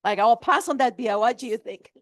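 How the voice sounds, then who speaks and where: A woman's voice: on the high side, a little fast, no echo, clean studio sound. A woman on a real estate panel who flips houses and speaks candidly and emphatically. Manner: candid, emphatic, community-minded. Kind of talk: seminar panel talk.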